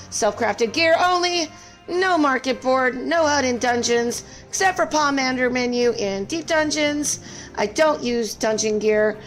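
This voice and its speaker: A woman live-streaming a game and chatting casually with viewers, her voice strained and scratchy, apologetic and full of fillers. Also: on the high side.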